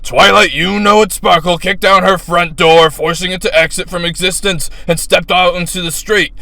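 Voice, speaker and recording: A man giving a bombastic, grandiose dramatic reading of a comic fan story in a strained, loud delivery. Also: high-pitched, fairly even intonation, normal speed, gravelly, no echo. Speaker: fan fiction reader.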